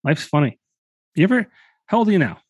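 A man's voice: a little high, big pitch swings, fast, a little mellow, husky, dry recording, studio quality. A man in his late thirties hosting a podcast, musing casually with loose fillers. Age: 30s. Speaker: podcast host. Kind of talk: casual conversation.

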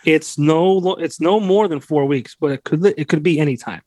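A man's voice: a little high, a little fast, dark tone, dry recording. A man in his late thirties hosting a podcast, joking and blunt, with casual swearing in loose chat. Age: 30s. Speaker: podcast host.

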